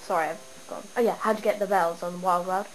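A young woman with a stuffy cold, chatting and rambling excitedly as she shows off her game town at home. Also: lowish voice, fairly quick. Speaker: gamer showing her town. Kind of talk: casual game walkthrough. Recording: noisy home camera recording. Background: steady background noise.

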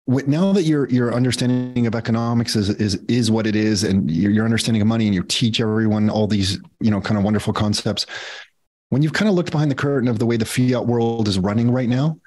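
A man's voice: medium pitch, a little mellow. A middle-aged man hosting a podcast interview over a video call, asking long, rambling questions full of fillers. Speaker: podcast host. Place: home studio office. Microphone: earbud headset on video call.

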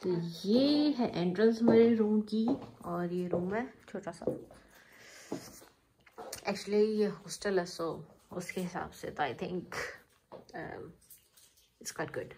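A woman's voice: lowish voice, slow pace, long pauses, background noise. A young woman vlogging on a road trip and in a hostel room, chatty and playfully bossy, switching between Hindi and English, filmed on a phone at arm's length. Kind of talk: casual family travel vlog. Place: inside a car, then a small hostel room. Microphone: phone held at arm's length.

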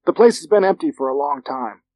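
Man voicing a folksy female store clerk, pitched up. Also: animated, a little fast, darkish tone, no room sound, studio quality. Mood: helpful. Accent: American. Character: friendly small-town female general store clerk.

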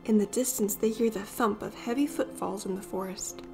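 A woman reading a children's picture book aloud as an expressive storyteller. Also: medium pitch, moderate pace, gravelly, music in the background.

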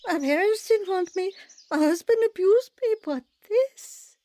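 A woman's voice: very high voice, lively intonation, a little slow, mellow. An actress playing a troubled young mother telling her painful life story in a radio drama. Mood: anguished. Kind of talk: dramatised first-person narration, radio drama. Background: music.

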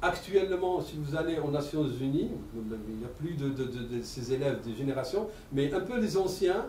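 A man in his sixties with an Arabic accent, giving an animated public talk with many repeated words. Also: a little high, moderate pace, a little hiss or hum. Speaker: lecturer. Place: lecture room. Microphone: table stand mic. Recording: echoey room, mic at a distance.

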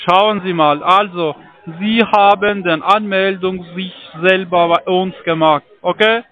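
A man with a foreign accent, not a native speaker, making a phone sales pitch in halting, ungrammatical sentences. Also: steady tone. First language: not German. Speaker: call centre phone salesman. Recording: muffled phone line.

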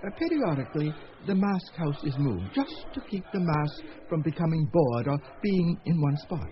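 An actor playing a nervous, crafty guide, polite and hesitant, in a radio drama. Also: male voice, fairly high pitch, lively intonation, normal speed, natural voice. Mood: nervous, sly. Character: nervous, deferential, crafty male smuggling guide. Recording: muffled radio recording with faint noise.

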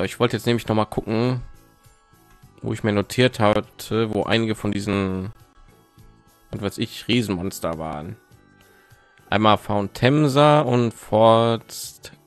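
A man chats casually over his gameplay, rambling and thinking aloud. His voice sits a little low, and there is some phlegm in his throat. Faint game music plays behind. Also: a little slow. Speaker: let's play host. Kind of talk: casual gaming commentary.

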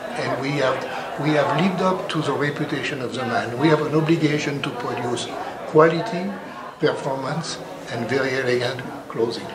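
An older man talks earnestly about his work, pausing and restarting as he finds the right words. He is recorded in a large carpeted hotel hall with a roomy echo. Loud crowd chatter fills the space behind him. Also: mid-range voice. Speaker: brand representative. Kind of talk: trade show interview.

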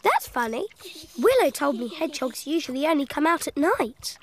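An actor voicing a cheerful, curious, kind young cat in a preschool cartoon, with a bright, wondering, encouraging manner. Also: high voice, sing-song, moderate pace, full character voice. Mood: amused, curious. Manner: bright, wondering, gently encouraging, speaks to small children. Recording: music behind the voice.

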